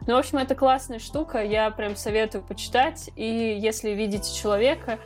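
A woman's voice: on the high side, brisk, faint music behind. A woman speaking as a podcast guest or presenter, explaining things in an informal, colloquial way.

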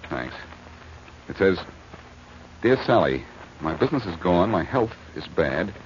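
An actor playing a smooth, wisecracking amateur detective with an American accent in a 1940s-style radio drama, brisk and flirtatious. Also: male voice, deep voice, big pitch swings. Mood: urgent. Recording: muffled, noisy old radio recording.

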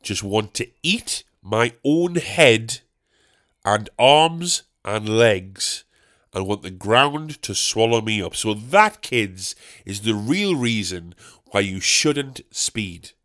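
A man's voice: on the low side, expressive, slow, a bit bright. A man hosting his podcast solo from his bedroom, rambling and joking at his own expense, with a snotty, stuffed-up voice from a cold. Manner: rambling, self-mocking, jokey asides. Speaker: podcast host and stand-up comedian.

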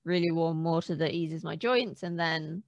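A woman's voice: sits low, moderate pace, vocal fry, no room sound, highs cut off. A woman co-hosting a podcast in casual, conversational chat. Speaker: podcast co-host.

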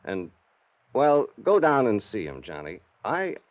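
Evasive insurance exec, 1950s radio play, low voice, dry, dull old transcription. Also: male voice, huge pitch swings, unhurried, no echo. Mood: coaxing. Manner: evasive, coaxing. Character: insurance executive, evasive friendly man. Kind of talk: 1950s radio drama acting.

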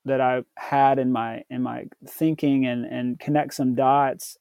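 Male American podcast guest, mellow and unhurried, reflective storyteller who pauses mid-thought, dry close sound. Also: medium pitch, flat delivery, creaky, clear, resonant tone, no echo. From southern United States, Tennessee. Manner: halting, pausing often mid-sentence. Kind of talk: reflective personal storytelling in an interview.

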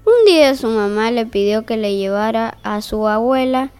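Woman playing fairy-tale narrator, crisp voice, mild, hesitant, easily flustered, comic audio story, faint music behind. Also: fairly high pitch, lively intonation, moderate pace, a little mellow, lightly acted. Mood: storytelling. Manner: hesitant, accommodating.

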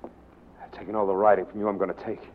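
A man's voice: lowish voice, level tone, fast talker, natural voice. An actor playing a menacing, hot-headed young gunman in 1940s radio drama style. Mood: furious. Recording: muffled 1940s radio broadcast recording.

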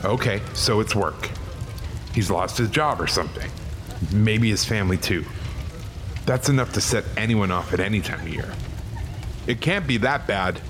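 A man's voice: lowish voice, animated, moderate pace, long pauses, noisy background, natural voice. A man playing a tense police officer in an audio drama, coaxing and urgent as he negotiates. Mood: thinking, uneasy. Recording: music and rain under the voice.

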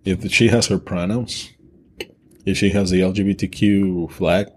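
A man's voice: sits low, fairly even intonation, unhurried, slight background noise. A man co-hosting a podcast, talking bluntly and crudely in casual chat, joking with his co-host. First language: Spanish.

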